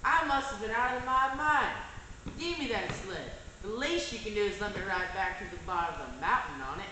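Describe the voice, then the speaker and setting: A man's voice: sits high, lively intonation, normal speed, bright, forceful tone, full character voice. A man playing a crotchety, boastful old backwoods man with a folksy, grumbling drawl in stage reader's theater. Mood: scornful, boastful. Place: large echoey room, school performance. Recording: echoey, distant, noisy school stage recording.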